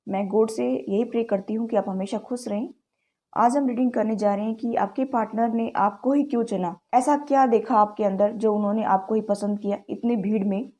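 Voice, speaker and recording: A woman's voice: on the high side, fairly even intonation, normal speed, warm tone. A woman with a sore throat giving a chatty tarot reading, often addressing viewers as "guys" and mixing English words into her speech. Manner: mixes English words into Hindi. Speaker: tarot card reader. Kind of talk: direct-to-camera tarot reading.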